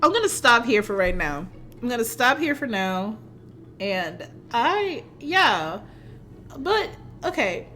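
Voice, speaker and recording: A woman streamer reading a story game aloud and reacting to it in an excitable, flustered way, often giggling. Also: fairly high pitch, slow, a little hiss or hum.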